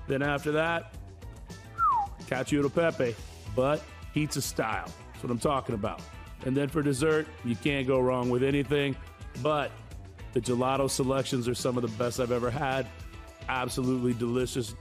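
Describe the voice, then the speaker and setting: A man's voice: mid-range voice, steady tone, normal speed. A middle-aged man hosting a food show, chatting casually and enthusiastically in short emphatic phrases. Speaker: food show host, chef. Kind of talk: casual on-location food show chat and presenting. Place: restaurant dining rooms. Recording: music behind the voice. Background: music.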